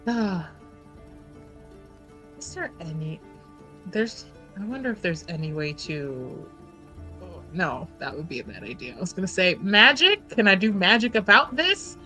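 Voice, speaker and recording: Woman player in a ttrpg, slow and a bit low, thinking out loud, music in the back. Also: big pitch swings. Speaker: player in a tabletop roleplaying game. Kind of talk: casual in-game deliberation.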